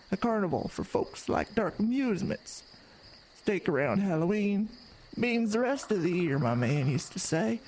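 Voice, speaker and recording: A woman's voice: medium pitch, a little mellow, a little hiss or hum, rough recording. A creepy old carnival mother. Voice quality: folksy rural grammar. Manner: urgent, ominous warnings. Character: old mother of the carnival owner, hiding in the woods. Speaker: voice actor. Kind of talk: horror radio drama.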